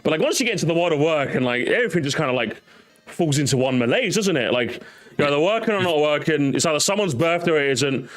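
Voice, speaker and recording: A man in his thirties hosting a livestream, chatting casually, animated, swearing and laughing often. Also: a little high, quick pace. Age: 30s. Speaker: streamer host.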